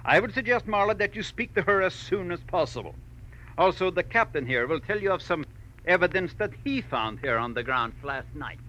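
An actor playing an exacting middle-aged millionaire mine owner in a 1940s radio drama, emphatic and deliberate in his phrasing. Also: high voice, expressive, pushed and bright. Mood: businesslike. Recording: dull, hissy old radio recording.

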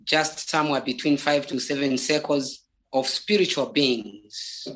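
Slow, deliberate testimony in a Zambian accent. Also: mid-range voice, steady tone, a bit bright. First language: Bemba. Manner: halting, deliberate, repeats words for emphasis. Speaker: religious testimony speaker. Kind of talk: spoken personal testimony.

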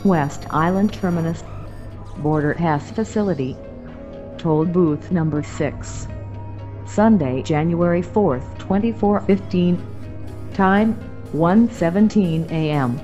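A woman voicing an impersonal automated system that states places, dates and times in flat phrases. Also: lowish voice. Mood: neutral, official. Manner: deliberate, flat, clipped phrases. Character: slow, impersonal female automated date-and-location logging voice. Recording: dull recorded system audio, music behind.

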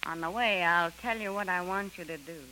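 A woman's voice: fairly low pitch, a little fast, natural voice, rough recording. A woman playing a domineering, scheming plantation boss, commanding and scolding, in an old radio adventure. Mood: cold, scheming. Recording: hissy old radio recording.

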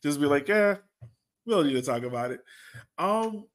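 A man with a slightly high voice hosts a sports podcast. He chats casually as a fan, with a rueful, self-deprecating way of putting things. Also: lively intonation, moderate pace. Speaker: podcast host.

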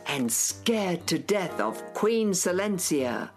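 A woman playing a humble storyteller, narrating a children's space radio play in a formal, measured, theatrical way. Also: on the low side, very animated, soft background music, heavily acted. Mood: presenting.

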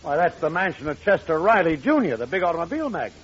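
An actor playing a gruff, bossy watchman who sounds like a cop, in 1940s radio comedy style. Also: a little high, very animated, fast. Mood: stern. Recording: dull noisy old radio recording.

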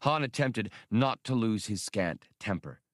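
Dude narrating a sci-fi audiobook, calm and even, low voice. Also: male voice, no echo, studio quality. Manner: measured, steady storytelling.

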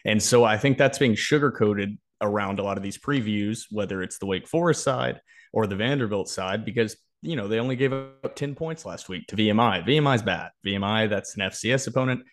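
Male sports podcast host, lower-pitched, opinionated and emphatic game analysis. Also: brisk. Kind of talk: football preview analysis, conversational.

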